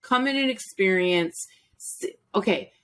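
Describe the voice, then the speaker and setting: Woman host chatting casually, medium pitch, animated, keeps rewording her questions as she goes. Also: a little slow. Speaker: interviewer, host and instructor. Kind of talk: casual chat interview.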